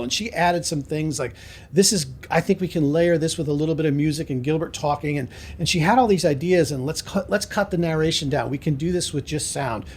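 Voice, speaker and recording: A man is a guest on a podcast and talks casually about making his show. He sounds relaxed and reflective, and he laughs easily. His voice sits somewhat high for a man. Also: breathy edge. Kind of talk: casual interview chat.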